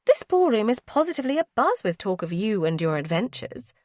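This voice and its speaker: A woman's voice: medium pitch, very animated, fairly quick, a little mellow, no room sound, clean studio sound, pitched above the performer's own voice, close to an everyday voice. A woman voicing a bold, confident young society lady with a gushing, self-assured manner.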